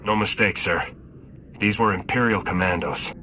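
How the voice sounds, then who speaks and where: An actor playing a disciplined Republic trooper in a video game, speaking tersely. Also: male voice, lowish voice, normal speed, bright, forceful tone, a little hiss or hum. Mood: dutiful. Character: determined male Republic trooper, disciplined soldier. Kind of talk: video game cutscene acting.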